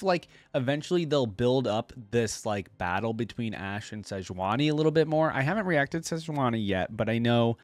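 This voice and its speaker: A man's voice: mid-range voice, no echo. A man hosting a reaction video, giving casual off-the-cuff commentary in a musing, conversational way.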